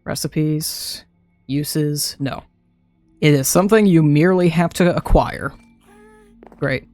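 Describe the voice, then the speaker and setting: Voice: medium pitch, slow, a little mellow, dry recording, soft background music. A young adult streamer chatting casually to themself while playing a game, laughing often, throat a little dry. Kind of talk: casual live gameplay commentary.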